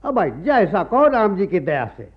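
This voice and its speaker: An actor playing a greedy, wily priest and moneylender in a radio play, sanctimonious and wheedling. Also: male voice, sits high, very animated, normal speed, breathy edge, heavily acted. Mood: slyly calculating. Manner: sanctimonious, wheedling, repeats a pious catchphrase. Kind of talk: Hindi radio play acting. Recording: muffled archival radio play recording.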